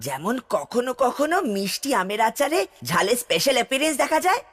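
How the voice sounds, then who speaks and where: A woman's voice: a little high, big pitch swings, fast talker. A woman voicing a clever know-it-all young boy in a cartoon, with a preachy, emphatic delivery. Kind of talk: cartoon voice acting.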